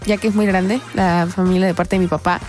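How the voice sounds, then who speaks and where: A teenage girl, chatting on a school radio show, hesitant and filling pauses as she talks. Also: on the low side, little pitch movement, a little mellow, soft background music. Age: teens. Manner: hesitant, lots of fillers. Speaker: student radio co-host. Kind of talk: casual radio chat.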